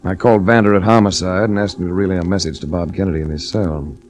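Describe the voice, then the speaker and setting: Low-voiced hard-boiled radio detective, played by a man. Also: quick pace, faint music behind, lightly acted. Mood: wry, matter-of-fact. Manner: deadpan, clipped, accusatory. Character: hard-boiled male investigator, stern and coolly accusing. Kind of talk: 1940s radio drama acting, noir narration. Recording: restored vintage 1940s radio recording.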